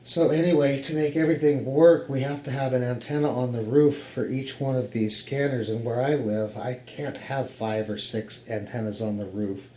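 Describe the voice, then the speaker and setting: An American man talks through his gear in a casual, explanatory way. His voice is mellow and relaxed at a middle pitch. The room echoes around him, with faint noise behind. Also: moderate pace, hardly any pauses. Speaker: hobbyist radio operator presenting his setup. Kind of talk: casual explanatory equipment walkthrough. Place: home office radio room, indoors. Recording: roomy echo with faint background noise.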